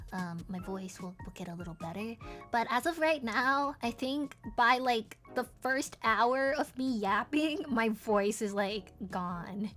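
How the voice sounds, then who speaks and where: A woman's voice: mid-range voice, a bit bright. A woman streamer chatting casually with viewers, rambling with plenty of fillers and little giggles, her voice sounding tired and strained.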